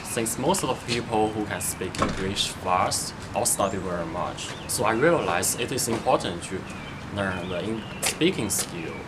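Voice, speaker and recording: Low-voiced man student, halting. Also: lively intonation. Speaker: English language student. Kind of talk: scripted personal statement. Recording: loud background noise.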